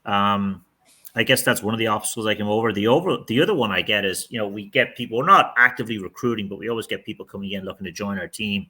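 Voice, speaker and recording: A middle-aged man with a low voice and a bright, forceful tone. He answers interview questions candidly and at length. He often restarts his sentences as he thinks aloud. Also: fast talker, husky. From outside the United States. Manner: candid, rambling, with frequent restarts. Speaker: real estate team leader, podcast guest.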